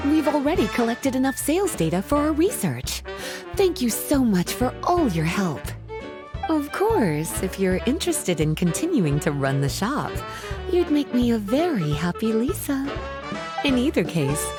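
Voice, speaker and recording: A woman's voice: a little high, very animated, moderate pace, over music. Coy, lilting mage lady. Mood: teasing, affectionate. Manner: coy, teasing, silky. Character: teasing, languid, affectionate adult woman mage. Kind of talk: video game character acting.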